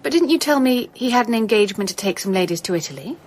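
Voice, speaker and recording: An actress playing a kindly, composed young Victorian gentlewoman in a radio drama, well-spoken and emphatic. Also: fairly high pitch, lively intonation, fast talker. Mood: kindly, curious.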